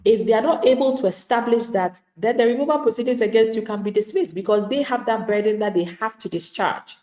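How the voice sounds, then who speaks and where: Woman lawyer talking straight to viewers, warm mellow voice, kinda echoey room. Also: fairly high pitch, a little fast. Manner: emphatic, plain-spoken, addresses viewers directly; acts out quoted replies of people she describes. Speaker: immigration attorney. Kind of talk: live-streamed legal advice talk.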